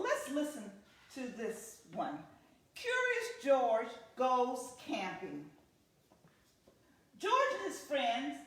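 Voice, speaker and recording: A high-voiced woman reads a children's story with big, swooping expression. She takes her time over each phrase in a deliberate way. The room is very echoey and she sounds set back from the microphone. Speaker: library story time reader. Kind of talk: animated children's story reading. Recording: echoey room, distant from the mic.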